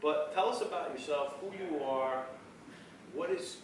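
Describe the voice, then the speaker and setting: A man's voice: fairly high pitch, measured pace. A man hosting a casual onstage interview, asking loose, rambling questions with many restarts. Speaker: event host, interviewer. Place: event venue, echoey room. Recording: echoey room, far mic, background noise.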